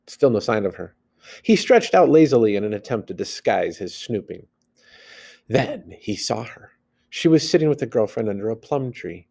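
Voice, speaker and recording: A man reads a story aloud in a mellow, relaxed tone. His pitch moves around in a lively way as he narrates expressively, in the manner of a teacher with a class text. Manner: animated, acts out the dialogue.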